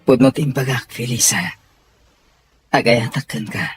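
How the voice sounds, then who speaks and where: Young gentleman suitor, Ilocano accent, very husky and breathy, earnest radio drama style, music underneath. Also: medium pitch, fairly even intonation, moderate pace. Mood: tender, earnest. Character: sincere young gentleman employer wooing his servant. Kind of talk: Filipino radio drama acting.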